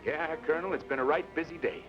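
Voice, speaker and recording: A man playing a quick laconic cowboy ranger. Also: a little high, natural voice. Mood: steady. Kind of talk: 1940s radio acting. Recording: dull old radio recording with music.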